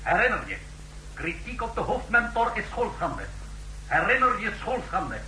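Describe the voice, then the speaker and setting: An actor playing a harsh, accusing indoctrinator chanting commands in a 1960s radio drama. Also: male voice, high voice, very animated, normal speed, sharp tone, slight background noise, heavily acted. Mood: harsh, commanding. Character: harsh indoctrinating voice on a conditioning tape. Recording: echoey, faintly humming old radio recording.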